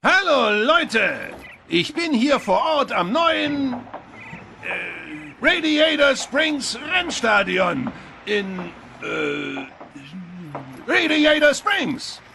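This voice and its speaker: A man voicing a goofy, excitable, good-natured country bumpkin tow truck in an animated film dub, naive and folksy. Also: extremely high pitch, very slow, gravelly, exaggerated voice. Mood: excited announcing. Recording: faint background noise.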